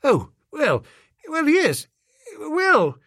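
A man voicing a flustered, bumbling young gentleman who stammers and dithers, in a storybook reading. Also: very high voice, huge pitch swings, drawn-out words, dry recording, studio quality, voice pushed up, exaggerated voice.

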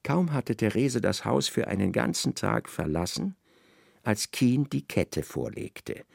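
A man narrating a literary radio play in a dramatic, expressive, scripted style. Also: lowish voice, measured pace, vocal fry, dry recording, studio quality.

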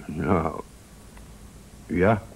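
Een acteur in een Nederlands hoorspel speelt een nuchtere radiomonteur die beleefd, precies en stellig uitleg geeft. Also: male voice, low-pitched, extremely slow, creaky, a little hiss or hum, exaggerated voice, poor audio. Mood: matter-of-fact. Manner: polite, precise, certain. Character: matter-of-fact radio repairman, confidently explaining. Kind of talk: Dutch radio play acting.

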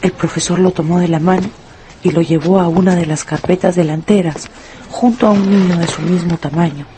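A woman narrator reading a scripted radio story in an expressive, dramatic storytelling manner. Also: lowish voice, a little fast, noisy background. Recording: loud music and crowd effects behind.

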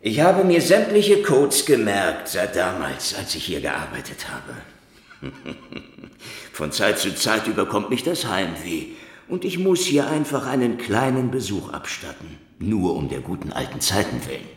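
An actor playing a cynical, sardonic and defiant Soviet secret police detective in a hardboiled radio crime drama, with wry, dramatic delivery. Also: male voice, on the low side, huge pitch swings, measured pace, husky, full character voice. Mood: mock-polite, sarcastic. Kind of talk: hardboiled radio crime drama, first-person narration and dialogue. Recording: music behind the voice.

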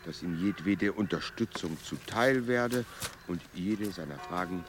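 An actor playing the solemn voice of an official decree in a radio drama, reading ceremoniously. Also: male voice, deep voice, lively intonation, a little slow, background noise.

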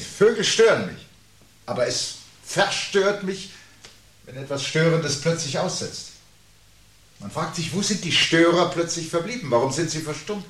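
A man's voice: medium pitch, a bit bright, lightly acted. An actor playing a weary, gloomy intellectual man in a radio play, speaking in a pensive, pedantic and declamatory way. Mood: uneasy, brooding. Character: gloomy, weary intellectual man who dreads birds and doom. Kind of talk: 1970s German radio play dialogue. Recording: hissy 1970s radio recording.

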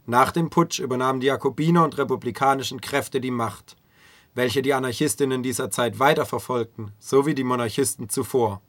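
A man's voice: medium pitch, brisk, a bit bright, no echo. A man reading scripted documentary narration for a radio feature.

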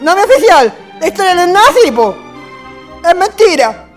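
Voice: very high-pitched, sing-song, a little fast, soft background music. A performer voicing an accused young man with a Chilean accent, whining and defensive, protesting innocence in a radio drama. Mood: pleading denial.

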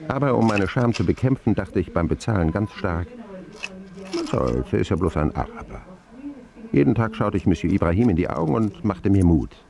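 An actor playing a man who recounts his boyhood wryly and candidly in a radio drama, with dramatic phrasing and deliberate pauses. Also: on the low side, expressive, creaky, crowd noise. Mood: reflective. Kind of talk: scripted first-person radio drama narration.

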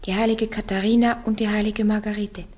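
A young girl stands before a church court and gives her accusers nothing but short, stubborn answers. Her faith is steady and her tone never softens. A woman acts her out in a classic radio play. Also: medium pitch, a little fast, lightly acted. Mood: firm. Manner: terse, defiant answers. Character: young peasant girl on trial, defiant and devout. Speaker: accused in a heresy trial. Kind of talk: German radio play acting.

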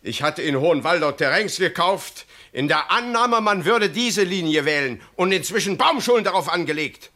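High-voiced pushy tree dealer. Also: male voice, animated, moderate pace, pushed and bright, full character voice. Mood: complaining. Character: pushy, complaining tree-nursery dealer driving a bargain. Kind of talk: comic German radio play acting.

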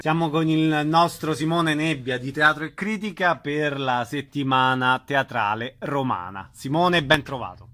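A man's voice: a little high, unhurried, few pauses, bright, forceful tone, faint music behind. A man hosting a radio show, introducing segments and speaking in brief, friendly interjections. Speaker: radio host.

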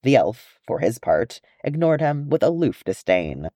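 Mellow, lively male storyteller. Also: medium pitch, measured pace, no echo, studio quality. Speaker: audiobook reader of fan fiction. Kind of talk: expressive scripted story narration.

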